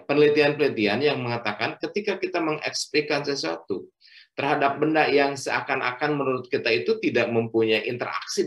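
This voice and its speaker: A middle-aged man, a doctor, giving a health lecture at a religious study session, speaking in measured phrases with frequent fillers and addressing the listeners as friends. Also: mid-range voice, fairly bright tone.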